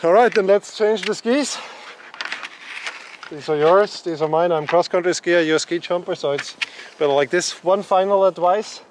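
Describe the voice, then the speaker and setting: A man in his thirties hosting a casual skiing vlog, upbeat and quick to laugh, recorded on an action camera outdoors. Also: sits high. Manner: upbeat, laughs often, excitable. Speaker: vlog host, cross-country skier. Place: outdoors on snowy ski hills and in a changing room. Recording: noisy outdoor camera sound.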